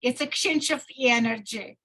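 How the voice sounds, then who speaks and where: A woman with a Russian accent, an acting teacher talking in a relaxed discussion, speaking in searching, broken phrases. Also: fairly high pitch, normal speed. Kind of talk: conversational discussion.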